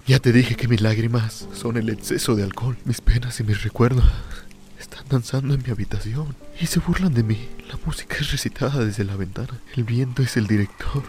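A man's voice: mid-range voice, a little mellow, lightly acted. Man acting a tormented drunken loner over music. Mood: defiant, bitter. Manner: brooding, theatrical first-person soliloquy. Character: tormented, lonely drunken man sinking into madness and fear. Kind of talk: radio drama monologue.

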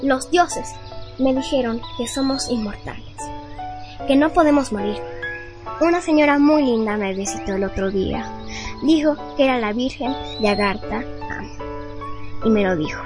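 A woman plays an earnest little boy in a radio serial. The voice is very high, childlike and trusting, eager in its delivery, over clear background music. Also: moderate pace, long pauses, close to an everyday voice. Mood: earnest, innocent. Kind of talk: dramatic radio serial acting.

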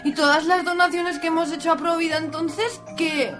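Woman as young pregnant daughter, radio soap: extremely high voice, hesitant, pious, fearful. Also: little pitch movement, normal speed, fairly bright tone, soft background music. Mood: anxious, pleading. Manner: melodramatic, hesitant. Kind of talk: amateur comic radio soap acting.

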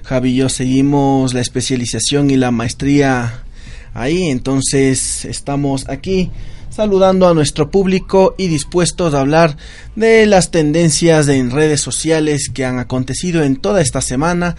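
Male radio host, upbeat, effusive greetings, slightly high voice. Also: moderate pace, darkish tone. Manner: enthusiastic, greets listeners at length. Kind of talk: lively radio show hosting.

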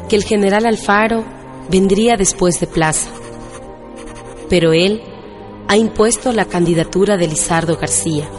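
A woman's voice: mid-range voice, natural voice. An actress playing an uneasy young woman narrating her letter in a radio drama. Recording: loud music under the voice.

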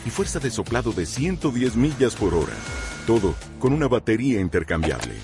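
A man's voice: mid-range voice, big pitch swings, a little fast. A man reading a scripted radio commercial in a punchy, salesy way. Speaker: ad announcer. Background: music.

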